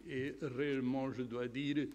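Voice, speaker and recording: A man's voice: mid-range voice, flat delivery, a little mellow, dull audio. Man introducing speakers in a hall. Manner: polite, with occasional pauses and fillers. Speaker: event host. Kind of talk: formal introduction. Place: lecture hall. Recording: reverberant hall with faint noise.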